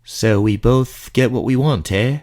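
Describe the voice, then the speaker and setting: A man voicing a young female dark elf queen, earnest, hesitant and girlish, in a fantasy audio drama. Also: mid-range voice, unhurried, lightly acted. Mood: tender.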